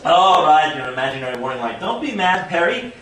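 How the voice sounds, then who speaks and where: A man's voice: fairly high pitch, a little fast, distant mic, over a noisy crowd. A man reading the part of a chatty, goofy young doctor in a comedy table read, rambling eagerly in an echoey, noisy room. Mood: cheeky. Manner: rambling, animated.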